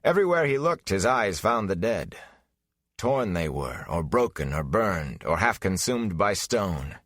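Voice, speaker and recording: A man narrating a fantasy audiobook in a solemn, measured, dramatic style. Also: low voice, sing-song, fairly bright tone, dry recording, clean studio sound.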